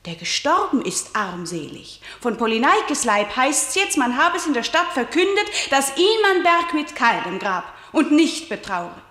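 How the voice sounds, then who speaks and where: Casting: defiant grieving tragic sister, higher-pitched, solemn stylized verse, radio drama, echoey room. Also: female voice, fairly bright tone, full character voice. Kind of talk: German classical tragedy radio play.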